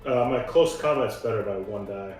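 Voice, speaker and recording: A man's voice: fairly low pitch, moderate pace, darkish tone. A man playing a tabletop roleplaying game, chatting casually and thinking through his moves aloud. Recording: roomy echo, faint music behind. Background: faint background music.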